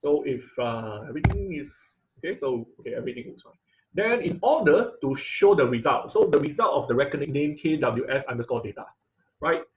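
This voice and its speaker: A man giving an informal live technical lesson as an instructor, speaking haltingly, repeating phrases and often checking in with "okay" and "right". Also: mid-range voice, very animated, slight background noise, rough recording. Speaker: online class instructor.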